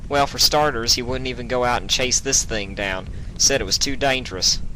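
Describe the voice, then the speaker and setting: A man's voice: mid-range voice, moderate pace, bright, forceful tone, voice pushed up, natural voice, low-quality recording. A man voicing an earnest young female pony in a storybook, speaking in a plain, direct, curious way. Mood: frank. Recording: clear background noise, night crickets.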